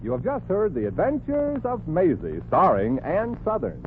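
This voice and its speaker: A male radio announcer with a smooth, declamatory delivery, introducing and closing the show. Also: high voice, wildly swooping pitch, normal speed. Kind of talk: formal announcing. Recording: tinny old radio broadcast with music.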